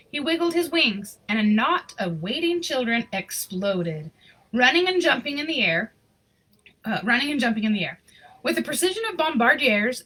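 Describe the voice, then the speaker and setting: A woman's voice: on the high side, sharp tone, husky, low-quality recording. Woman reading a picture book aloud. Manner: sentimental. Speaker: storybook reader. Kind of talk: read-aloud of a children's picture book with casual asides.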